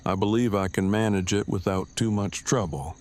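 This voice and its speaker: A man's voice: on the low side, normal speed, darkish tone, natural voice. An actor playing an awkward, verbose man who talks in stiff, pedantic, formal phrases in an audio drama. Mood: stiff, polite.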